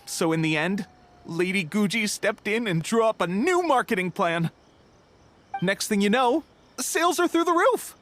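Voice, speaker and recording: A man's voice: high voice, expressive, a bit bright, a little hiss or hum. A man voicing a polite, chatty bookshop clerk in an English anime game, helpful and deferential, with a hesitant conversational manner. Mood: hesitant, explanatory. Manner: hesitant, conversational, deferential, many hums and pauses.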